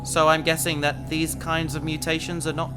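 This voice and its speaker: A man's voice: fairly high pitch, monotone, moderate pace, natural voice. A man playing an earnest, dramatic young human who is turning part alien, hesitant and polite, in an audio drama. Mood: awkward, sheepish. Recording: faint music behind the voice.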